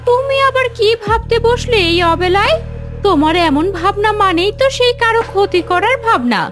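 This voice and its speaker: A very high, swooping village wife acted by a woman from a cartoon moral tale. She lectures and reproaches with firm principles, over faint music. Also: sing-song, moderate pace, fairly bright tone, exaggerated voice. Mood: reproachful. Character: principled, scolding village wife warning her husband.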